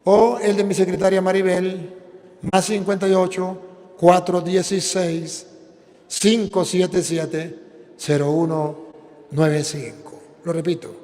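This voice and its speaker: Venezuelan priest preaching in a reverberant hall. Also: male voice, high-pitched, level tone, a little mellow. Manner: preaching with slow, emphatic stresses, then plain appeal. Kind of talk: sermon and prayer.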